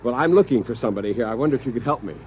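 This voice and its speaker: A man's voice: medium pitch, animated, very fast, a little mellow, muffled recording, slight background noise, close to an everyday voice. An actor playing an earnest, agitated and hapless unemployed man who tells his own story in 1950s radio drama style. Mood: hesitant. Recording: old radio recording, music behind.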